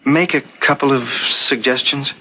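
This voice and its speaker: A man playing an earnest writing teacher and amateur sleuth in a 1970s radio drama, polite, halting and eager. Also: mid-range voice, fairly even intonation, pushed and bright. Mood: tentative, earnest. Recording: noisy 1970s radio broadcast recording.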